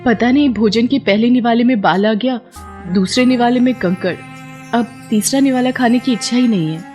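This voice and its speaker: A woman's voice: fairly high pitch, moderate pace, mellow, voice pushed up, natural voice. Woman acting earnest young devout wife, music behind. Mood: eager. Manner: earnest, emotive. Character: devout, stubborn, tender young married woman in folktale.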